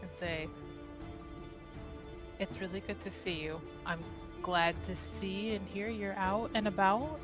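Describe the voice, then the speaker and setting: A woman's voice: medium pitch, steady tone, slow, pauses often, over loud music. A woman playing a tabletop roleplaying game, giggly, speaking both as narrator and as her character. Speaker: player.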